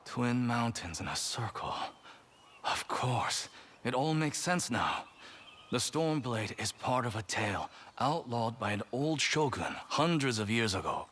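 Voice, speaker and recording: A man playing a calm, reverent storyteller in a video game, narrating old samurai legends in a measured, grave way. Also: mid-range voice, slight background noise. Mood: quiet, intrigued. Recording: loud music behind the voice. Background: loud music.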